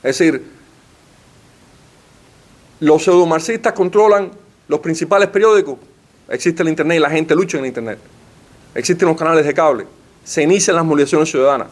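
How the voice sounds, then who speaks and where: A man with a slightly raised pitch delivers a formal lecture. He asks rhetorical questions and stacks up lists to press his argument. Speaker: panelist giving a talk. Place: library meeting room.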